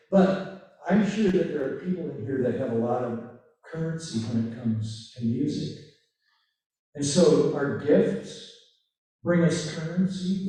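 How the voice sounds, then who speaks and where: Slow, mellow male workshop leader with a Dutch accent, halting delivery, recorded far off in a very echoey church hall. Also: medium pitch. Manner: hesitant, thinks aloud. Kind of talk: conversational workshop talk.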